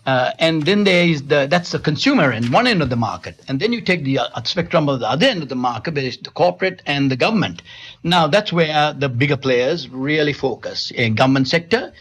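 A man's voice: on the high side, lively intonation, fairly quick, fairly bright tone, breathy edge. A middle-aged man from Sri Lanka, a company founder answering interview questions in a studio, hesitant and full of restarts. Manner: hesitant, many restarts and fillers. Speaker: telecom company founder, guest.

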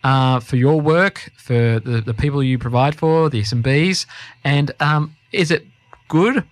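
A man co-hosting a studio interview, asking questions in a measured way with frequent ums. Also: mid-range voice, dry recording. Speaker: co-host.

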